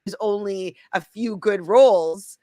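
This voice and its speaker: A woman's voice: mid-range voice, measured pace, warm tone, no echo. A woman coach chatting casually and earnestly about acting. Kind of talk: casual conversational chat.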